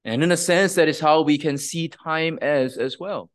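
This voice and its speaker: A male pastor delivers a sermon in a steady, explanatory manner, often pausing to ask the congregation questions. His voice sits a little higher than most men's. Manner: measured, explanatory, with frequent restarts and rhetorical questions.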